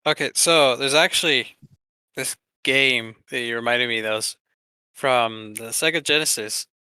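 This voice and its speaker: A man with a clear, forward, bright voice co-hosts a casual podcast. He speaks haltingly and drifts into asides. The sound is dry and close. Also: medium pitch, dry recording. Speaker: podcast co-host.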